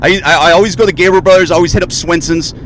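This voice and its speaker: A man from Ohio hosting a casual podcast chat, excitable, swearing freely and saying "dude" constantly. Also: high-pitched, very fast, slight background noise, low-quality recording. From Ohio, USA. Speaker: podcast host.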